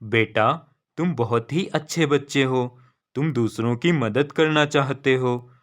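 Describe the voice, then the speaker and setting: A kindly sage from a cartoon fable, played by a man. He speaks in slow, measured phrases at a medium pitch, wise and benevolent. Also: fairly bright tone.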